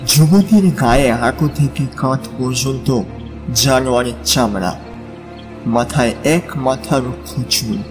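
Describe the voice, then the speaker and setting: A man narrating a literary story as a dramatic audiobook, measured and suspenseful. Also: mid-range voice. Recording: long reverb on the voice. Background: faint music.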